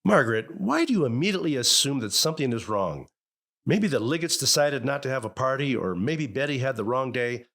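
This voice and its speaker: Middle-aged American dad, 1950s radio sitcom, lively intonation, avuncular, easily exasperated. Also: male voice, medium pitch, fairly quick. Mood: reassuring. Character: good-natured, exasperated suburban father, husband of Margaret.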